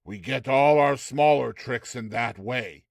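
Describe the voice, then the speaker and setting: A man's voice: mid-range voice, measured pace, gravelly, no echo. Man acting an uncanny, courteous magic shopkeeper. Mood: sly, affable. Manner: courteous, emphatic, theatrical delivery. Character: affable, uncanny shopkeeper conjurer, courteous salesman.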